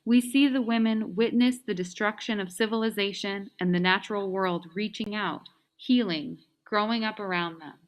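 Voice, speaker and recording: A woman's voice: mid-range voice, normal speed, few pauses, rough recording. A woman reading out stage directions at a staged play reading in a narrating style.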